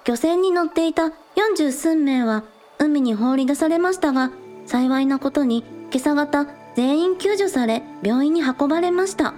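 A bubbly teenage girl idol acted by a woman speaks in a very high, cute voice. She is earnest and excitable, as in an audio drama, with music underneath. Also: normal speed, lightly acted. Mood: earnest reporting. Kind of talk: Japanese audio drama acting.

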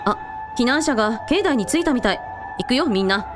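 A caring female shrine priest played by a woman takes charge in a radio drama. Her high voice is brisk and concerned, over clear background music. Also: expressive, lightly acted. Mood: caring, urgent.

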